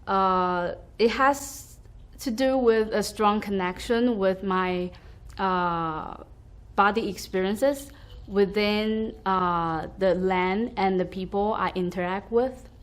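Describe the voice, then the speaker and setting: A woman's voice: mid-range voice, slow. A young woman from Taiwan, a school staff member, reflecting on her identity in a hesitant, thoughtful way with many fillers. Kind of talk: reflective personal testimony.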